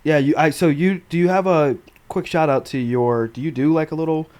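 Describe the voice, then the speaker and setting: A man's voice: fairly high pitch, steady tone, a little fast, a little mellow. An American man hosting a podcast, asking questions in a casual, slangy, informal way. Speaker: podcast host, interviewer. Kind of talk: casual interview chat.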